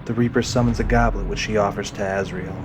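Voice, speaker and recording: A man's voice: fairly low pitch, fairly even intonation, fast talker, over music. A man reading dramatic scripted narration for an audio drama.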